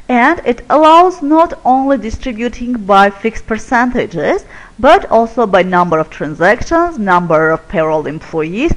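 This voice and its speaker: A woman presenting a software product in a webinar, explaining features step by step as she clicks through screens. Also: a little high, low-quality recording.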